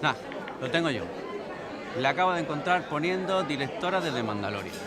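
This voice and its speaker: A man's voice: a little high, lively intonation, fairly quick, lightly acted. A man playing an insistent know-it-all friend in casual chat in an audio drama, with a busy crowd around. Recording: busy public crowd behind.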